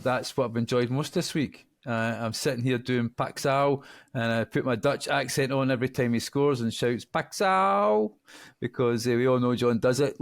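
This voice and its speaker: A man's voice: mid-range voice, no room sound, clean studio sound. Chatty good-humoured man on a podcast. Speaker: podcast co-host. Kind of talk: casual gaming podcast chat.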